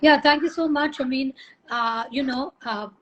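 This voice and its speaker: A woman dermatologist joins as a guest over a video call, her voice bright and high. She speaks casually and warmly, with frequent "you know"s, over faint background noise. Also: normal speed, muffled recording. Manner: warm, complimentary, filler-heavy.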